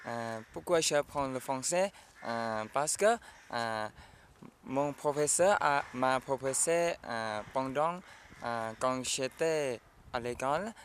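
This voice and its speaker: Man from Thailand, mid pitch, slow and hesitant, faint ambience. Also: animated, a bit bright, slight background noise. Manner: hesitant, many fillers. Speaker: student.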